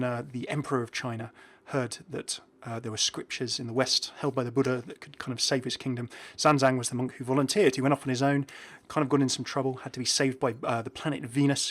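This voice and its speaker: Male gaming video host, medium pitch, casual and enthusiastic commentary.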